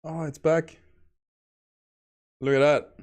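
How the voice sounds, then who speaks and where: A man streaming a game, talking casually in a soft, fairly monotone voice and rambling from one thought to the next. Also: on the high side, slow, vocal fry. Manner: self-described monotone, soft bedroom voice; rambling, chuckles between remarks. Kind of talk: casual gameplay commentary. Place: home.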